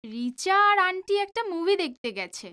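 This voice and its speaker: A woman plays a sweet, determined young student in an audio drama. Her voice is extremely high, with lively intonation. She is polite and earnest. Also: normal speed, heavily acted. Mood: sweet, shy.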